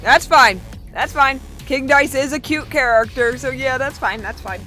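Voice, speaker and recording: Bright-voiced young woman chatting casually. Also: sits high, moderate pace, faint music behind. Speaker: fan vlogger reading viewer comments. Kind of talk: casual chat, reading out and answering comments.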